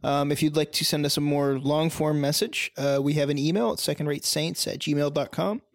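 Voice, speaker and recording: A man's voice: on the high side, fairly even intonation, moderate pace, vocal fry, no room sound, studio quality. A young man co-hosting a casual podcast, rambling a little with many ums and uhs. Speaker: podcast co-host. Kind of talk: casual chat.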